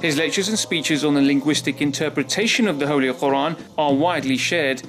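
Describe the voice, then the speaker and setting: A man's voice: a little high, brisk, a bit bright. A man hosting an interview show, opening with a formal introduction and asking questions. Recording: faint music behind.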